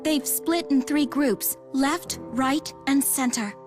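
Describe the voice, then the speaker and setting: A woman's voice: high voice, slow. An actress playing a serious, analytical young woman in a fantasy video game, composed and precise. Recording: loud music behind the voice. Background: loud background music.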